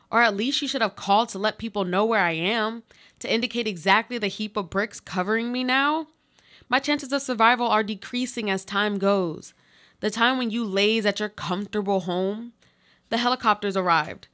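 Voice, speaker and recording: A woman's voice: medium pitch, moderate pace, a bit bright, natural voice. A woman playing a desperate trapped woman, pleading and reproachful in a dramatic radio play monologue.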